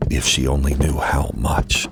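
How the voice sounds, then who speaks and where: A man's voice: a bass voice, little pitch movement, unhurried, creaky. A man narrating a dramatized first-person horror story, with music behind him.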